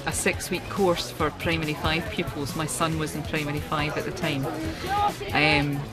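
Middle-aged woman, casual interview, loud music. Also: low voice, flat delivery, normal speed, poor audio. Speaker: club volunteer. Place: open-sided tent at a sports field.

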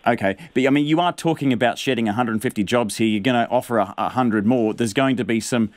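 A man's voice: mid-range voice, quick pace, no echo. A man in his thirties with a New Zealand accent, presenting the news and pressing an interviewee with probing questions in a radio studio. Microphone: studio mic on a boom.

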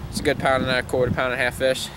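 A man's voice: mid-range voice, little pitch movement, rapid-fire. A young man chatting casually about fishing outdoors by a pond, rambling and enthusiastic, recorded on a camera mic. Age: young adult. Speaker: fishing vlogger. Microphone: camera mic, handheld or nearby. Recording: loud wind and outdoor noise.

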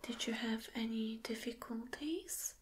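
A woman whispering softly in an ASMR roleplay as a flirty, attentive boss, gentle and reassuring. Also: on the high side, unhurried, slight background noise. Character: flirty, attentive female boss soothing an employee. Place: small dim room indoors.

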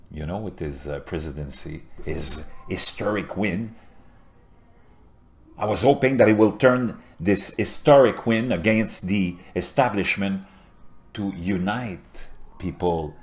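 A man in his fifties from Montreal, a native French speaker with a French Canadian accent, talking straight to camera in an earnest political monologue. He swings between quiet murmurs and loud emphatic bursts. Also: deep voice. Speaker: political video host. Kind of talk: impassioned political commentary to camera. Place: small indoor home setup with a virtual backdrop.

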